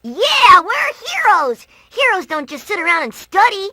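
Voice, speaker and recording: A brash, squeaky little cartoon boy, extremely high and piercingly bright. He is excitable and childlike, swooping through his lines over faint game music. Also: measured pace, no echo, exaggerated voice. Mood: impatient. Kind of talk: cartoon video game acting.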